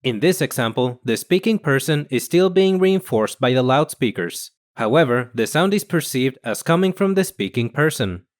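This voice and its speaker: A man reading scripted instructional narration in a measured, explanatory manner. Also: medium pitch, lively intonation. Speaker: software tutorial narrator.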